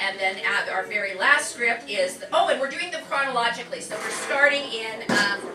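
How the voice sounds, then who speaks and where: A woman's voice: on the high side, far from the mic. Chatty woman instructor in an echoey room. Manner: chatty and upbeat, with many fillers. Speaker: class instructor welcoming an audience. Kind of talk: live stage welcome and announcements. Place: community center performance room.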